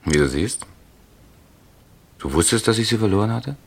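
Radio-play husband under suspicion, very deep male voice, hesitant and evasive;. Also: expressive, normal speed, vocal fry, slightly rough, exaggerated voice. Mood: uneasy. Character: nervous middle-aged husband questioned by police.

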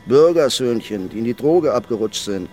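An actor playing a blunt, mocking police detective with a coarse manner in a radio crime drama. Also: male voice, mid-range voice, lively intonation, moderate pace, warm tone, soft background music, close to an everyday voice. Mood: sardonic. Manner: coarse, sardonic.

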